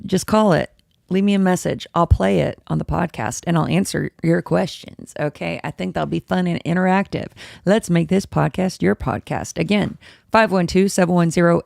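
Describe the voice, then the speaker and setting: A woman hosting her own casual podcast, a little hoarse, chatty and rambling as she interrupts herself. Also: a little mellow, no echo, clean studio sound. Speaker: podcast host. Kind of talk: casual solo podcast chat, live-streamed. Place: home room with dogs. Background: dogs and a squeaky toy at times.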